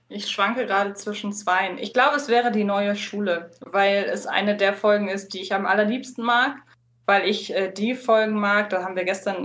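Mid-pitched woman chatting on a podcast. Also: fairly bright tone. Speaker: podcast guest. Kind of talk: casual podcast chat.

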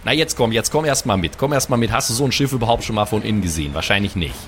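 A man's voice: fairly low pitch, expressive, racing, a bit bright, slight background noise. A man improvising his character in a tabletop role-playing game, talking in an enthusiastic, teasing way.

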